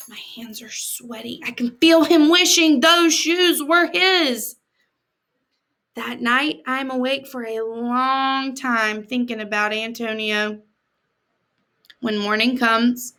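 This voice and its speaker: A woman's voice: on the high side, pushed and bright. An American woman reading a picture book aloud expressively, with dramatic emphasis and chatty, informal asides to her listeners. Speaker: read-aloud storyteller, teacher-like host.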